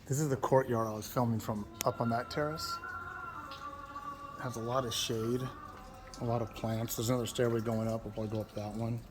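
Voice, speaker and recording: A man's voice: medium pitch, fairly even intonation, brisk, music in the background. A man giving a casual walking tour of a museum, thinking aloud with fillers and restarts, his voice cracking now and then. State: voice keeps cracking. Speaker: travel vlogger. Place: indoor and courtyard museum rooms.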